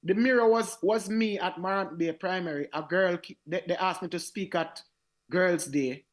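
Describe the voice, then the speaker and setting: A man with a Jamaican accent tells his story in a radio interview, his voice raspy. He speaks with animated energy, often doubling back to repeat his words as he goes. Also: high voice, normal speed. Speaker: reggae singer, guest.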